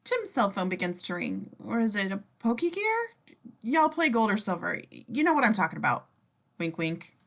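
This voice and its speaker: A woman's voice: mid-range voice, very animated, normal speed, creaky. A woman reading scene descriptions in a playful, slangy way, mixing scripted narration with casual joking asides.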